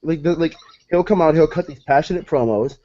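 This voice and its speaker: A man co-hosting a casual wrestling chat who keeps pressing his own take on the storylines. His voice is mellow and warm, and the recording sounds dull and lo-fi. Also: on the high side, a little fast. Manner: insistent, eager to argue his point.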